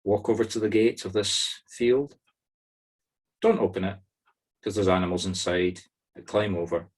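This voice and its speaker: Deep-voiced Scottish man guiding a meditation. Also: fairly even intonation. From Scotland. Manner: soothing, slow pauses between short instructions. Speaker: spiritual medium leading a meditation group. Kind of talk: guided meditation. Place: online video-call circle. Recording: video call audio.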